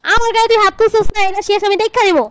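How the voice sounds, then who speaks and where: Woman playing a very shrill bossy Bangladeshi wife. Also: steady tone, racing, no room sound, studio quality. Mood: demanding, defiant. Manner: commanding, nagging. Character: bossy, money-grabbing young cartoon wife. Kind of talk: comedy cartoon dialogue.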